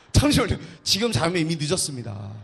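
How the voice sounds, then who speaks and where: A male pastor leads a church service in a high, husky voice, drawing the congregation out with a joke. His pitch swings widely as he coaxes the crowd into calling back and greeting each other. Soft music plays faintly behind him. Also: moderate pace. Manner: playful, joking with the congregation; energetic, coaxing crowd responses.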